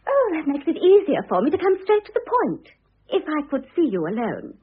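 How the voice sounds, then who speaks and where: Through the thin sound of an old radio play comes a young second wife, all affected innocence. Her high voice swings wildly up and down. She gushes and wheedles theatrically, thoroughly absorbed in herself. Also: wildly swooping pitch, a little fast, narrow, tinny audio. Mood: nervous politeness. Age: young adult. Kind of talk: classic radio drama acting. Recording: thin band-limited old radio recording.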